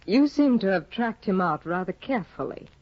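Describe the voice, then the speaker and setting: A woman's voice: mid-range voice, expressive, normal speed, no room sound, lightly acted. A woman playing a cold, cutting aristocratic wife. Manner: cool, clipped, disdainful. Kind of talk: 1950s radio drama acting. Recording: dull, dry 1950 radio broadcast.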